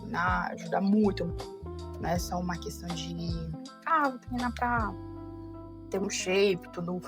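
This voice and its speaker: A young woman speaking Portuguese with a foreign accent, telling stories casually as a vlogger and leaping up in pitch when she quotes herself. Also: measured pace. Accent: foreign, learned Portuguese as adult. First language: not Portuguese. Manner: animated, jumps high when quoting herself. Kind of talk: casual storytelling. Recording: light music underneath.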